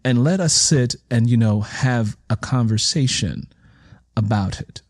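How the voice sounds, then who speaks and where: Male interviewer, mid-range pitch, reflective and emphatic, repeating phrases for stress; conversational delivery, dry close sound. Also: a little slow, no echo. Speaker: interviewer and writer. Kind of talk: reflective conversational interview talk about writing.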